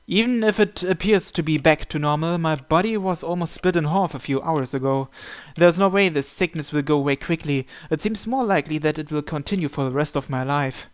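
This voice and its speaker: A man's voice: fairly high pitch, fairly quick, hardly any pauses, clear, resonant tone. A man hosting a visual novel playthrough, reading the first-person narration aloud.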